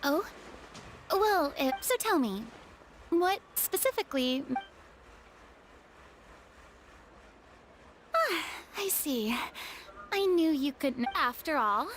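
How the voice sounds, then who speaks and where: A woman's voice: very high-pitched, sing-song, takes it slowly, noisy background, exaggerated voice. A woman voicing a proud, theatrical young former stage star in an anime video game, formal and haughty.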